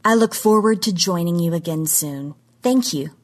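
A woman's voice: on the low side, moderate pace, very noisy. A woman presenting a scripted factual history segment in a formal presenter's style.

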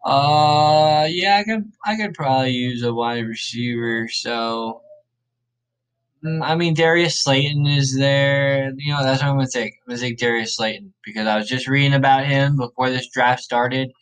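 A man hosting a podcast, thinking aloud in a casual, rambling way, his voice a little raspy and worn. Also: mid-range voice, normal speed, a bit bright, rough recording. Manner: rambling, laid-back, self-correcting. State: slightly raspy, tired voice. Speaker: podcast host. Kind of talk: casual thinking aloud during a fantasy draft.

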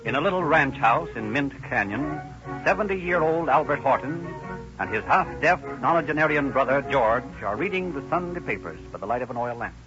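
A man's voice: medium pitch, expressive, normal speed, highs cut off, over music. A man announcing and narrating from a script in a formal, declamatory 1930s radio style.

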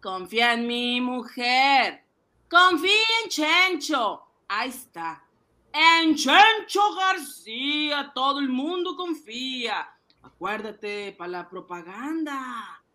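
Excitable, high-pitched little schemer. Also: male voice, takes it slowly, exaggerated voice. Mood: boastful, excited. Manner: exclamatory, cajoling, colloquial. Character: excitable, boastful ice-cream seller turned would-be banker, male. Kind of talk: comic radio drama acting.

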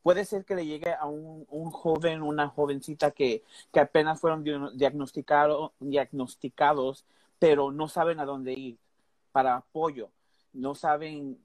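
Hombre titubeante, mezcla inglés. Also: male voice, a little high, fairly even intonation, measured pace, darkish tone, no echo. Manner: hesitant, searching for words, mixes in English. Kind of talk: casual panel conversation.

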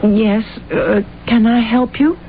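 A thin, slightly noisy old radio recording features an earnest, naive young woman. She talks very slowly in a medium-pitched voice, halting politely between phrases like a bashful librarian in a period drama. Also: narrow, tinny audio. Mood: politely helpful.

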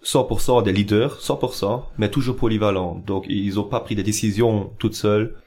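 A young man from German-speaking Belgium, whose first language is German, talks politics in a low voice. He pauses often, fills gaps with hesitations and restarts his phrases while searching for words. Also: steady tone, darkish tone. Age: young adult. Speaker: podcast guest. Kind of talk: political podcast discussion.

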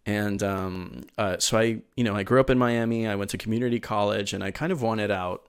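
Lowish-voiced man telling his story, rambling. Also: steady tone, fairly quick, clean tone. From Venezuela. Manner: eager, rambling with many fillers and restarts. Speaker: podcast guest. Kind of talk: casual interview storytelling.

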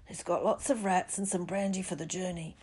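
A woman reading a story, voicing a big soft-hearted man who stammers and blusters fondly. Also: medium pitch, lively intonation, moderate pace, vocal fry, breathy edge, heavily acted. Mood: tearful. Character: big soft-hearted man, tearfully doting on his pet.

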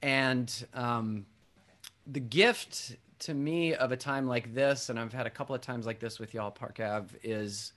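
A man's voice: medium pitch, fairly bright tone. A man pastoring a church gathering speaks in an earnest, heartfelt way, with a few restarts as he gathers his thoughts. His voice sits in an ordinary middle range for a man. He leads a conversation during worship. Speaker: Presbyterian pastor and podcaster hosting a conversation. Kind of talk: worship-service dialogue, welcoming and introducing a guest. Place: church service.

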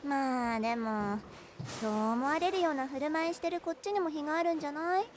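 A woman plays a sensible teenage schoolgirl who reasons calmly with her friends. She speaks with a high voice and earnest directness. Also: brisk, a little hiss or hum, close to an everyday voice. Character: level-headed teenage schoolgirl, class mediator. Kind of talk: amateur voice drama.

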